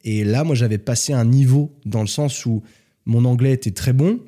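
A man from France, a podcast guest, telling stories in a relaxed, casual chat. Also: mid-range voice, moderate pace. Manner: relaxed storytelling, animated when joking.

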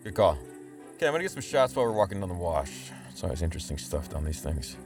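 A man's voice: sits low, very animated, fast. A man playing a reluctant, nervous young sidekick in an audio drama, hesitant and wryly complaining. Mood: practical, wry. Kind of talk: full-cast audio drama acting. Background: music.

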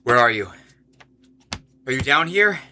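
A man's voice: mid-range voice, expressive, unhurried, pushed and bright, a little hiss or hum. A man playing an anxious, obsessed rock musician turned killer in an audio horror drama, intense and desperate. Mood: agitated.

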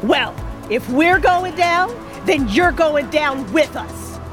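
A woman's voice: high voice, expressive, slow, music in the background. A woman playing a tough, cocky superhero vigilante in an audio drama, with sassy, confident banter. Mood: defiant.

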